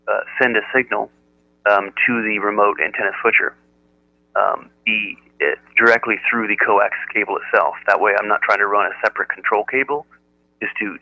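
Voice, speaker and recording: A man chatting casually over amateur radio, explaining technical things in a halting, methodical way. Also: fairly low pitch, steady tone, gravelly, dry recording. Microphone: radio transceiver audio output. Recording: narrow muffled radio audio, dry.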